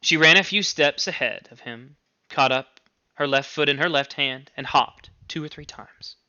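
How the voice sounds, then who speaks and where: A man narrates a short story in a bright, forceful voice that sits a little high. His delivery is expressive and animated, like a teacher reading aloud to a class. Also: moderate pace, lo-fi sound.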